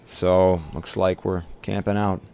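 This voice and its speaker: A man playing in a casual tabletop roleplay, speaking in a hesitant, halting way as he improvises. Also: low voice, flat delivery, gravelly, dark tone, clean tone. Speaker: roleplay player.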